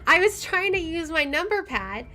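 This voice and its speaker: A woman game streamer with an expressive, excitable delivery, reading aloud and commenting as she plays. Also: extremely high pitch, moderate pace. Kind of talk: dramatic reading aloud and let's play commentary. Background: faint game music.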